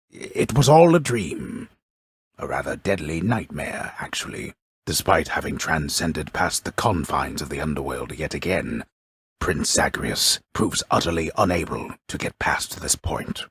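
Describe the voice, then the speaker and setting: A super deep raspy myth narrator for a game, grave n pompous w dry mockery, slow careful wording. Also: male voice, a bit bright, no echo, heavily acted. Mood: drily mocking. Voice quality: gravelly, husky. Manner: grandiose, deliberate, drily mocking. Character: grave, wry, omniscient male storyteller of Greek myth. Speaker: storyteller narrator of a mythological video game. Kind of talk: theatrical scripted narration with archaic wording.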